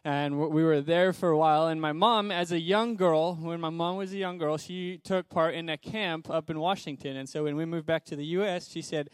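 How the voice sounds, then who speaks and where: A young man from Zimbabwe speaks as a missionary guest in a church, upbeat and brisk. His voice sits fairly high with a flat, steady contour. The sound is smooth and close, with little room echo. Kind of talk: personal testimony in a church service.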